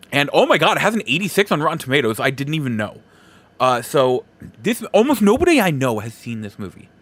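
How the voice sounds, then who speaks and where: A man's voice: a little high, fairly bright tone. A man hosting a solo podcast, giving opinions in an informal, conversational and emphatic way. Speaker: podcast host.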